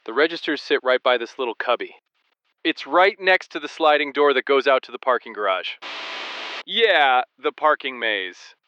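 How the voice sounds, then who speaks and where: An actor playing a desperate store clerk who is trapped and unravelling, leaving an anxious, emotional voice message in an audio drama. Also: male voice, medium pitch, lively intonation, normal speed, sharp tone, clean tone, no room sound. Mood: uneasy, venting. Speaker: store cashier. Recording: muffled lo-fi voice message.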